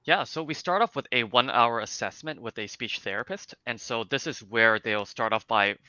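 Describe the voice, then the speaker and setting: A man's voice: mid-range voice, normal speed, sharp tone, no room sound, muffled recording. A young man with a light Cantonese accent, explaining things in a casual interview with lots of fillers and restarts. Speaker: interview guest, speech clinic founder.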